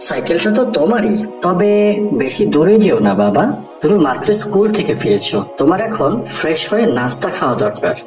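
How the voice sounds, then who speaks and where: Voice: medium pitch, big pitch swings, brisk, echoey room, over music, lightly acted. A performer playing a kind, caring father in a cartoon, recorded a little far from the mic. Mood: warm, approving. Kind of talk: cartoon voice acting.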